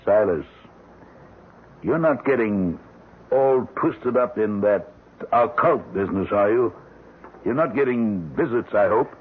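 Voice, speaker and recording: An actor playing a concerned, genial family doctor with a probing, avuncular manner in an old-time radio drama. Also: male voice, lowish voice, expressive, measured pace, pauses often, slightly rough. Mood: concerned, admonishing. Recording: tinny, noisy old radio broadcast.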